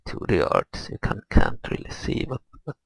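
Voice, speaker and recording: A man giving casual gameplay commentary, thinking aloud in a hesitant, grumbling, husky and gravelly way. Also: normal speed, no room sound. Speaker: gamer recording gameplay commentary.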